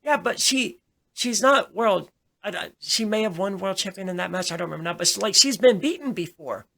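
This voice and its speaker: A woman's voice: medium pitch, lively intonation, a little fast, creaky, sharp tone. Emphatic woman in casual discussion. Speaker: podcast host.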